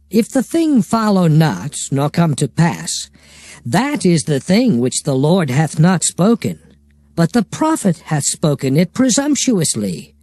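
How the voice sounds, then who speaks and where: A man playing a solemn prophet proclaiming divine law in a dramatized King James scripture reading, measured and oratorical. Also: high-pitched, a little mellow, no echo.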